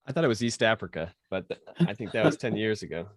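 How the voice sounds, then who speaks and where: Guy asking questions at a talk, kinda low voice, no room echo. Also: animated, fast. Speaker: audience questioner, moderator.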